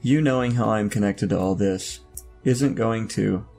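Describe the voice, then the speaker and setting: An actor playing an enigmatic, commanding older robed man in an audio drama, with a gravelly voice and a solemn, cryptic, measured delivery. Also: lowish voice, darkish tone, soft background music. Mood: cryptic, assured.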